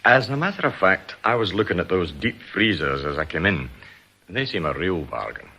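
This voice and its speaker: An actor playing a brisk, affable but suspicious credit card investigator in a British radio drama, chatty and matter-of-fact. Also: male voice, deep voice, expressive, sharp tone, breathy edge, full character voice.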